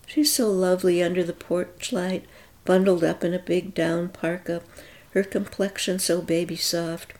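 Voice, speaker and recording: A woman narrating a story aloud in a calm, even reading voice. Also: fairly low pitch, measured pace.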